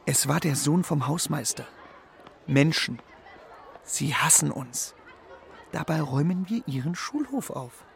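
A man's voice: on the high side, lively intonation, a little slow, gravelly, pauses often, some chatter behind, a little hiss or hum. An actor playing a brash, streetwise, embittered male street pigeon in a children's radio play, with slangy, bossy talk. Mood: wary, bitter.

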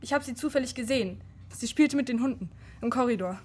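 High-pitched, earnest young-sounding heroine. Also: female voice, fast talker, darkish tone, husky. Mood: urgent, explaining. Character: earnest, urgent female friend bringing secret news. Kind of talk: children's radio play acting.

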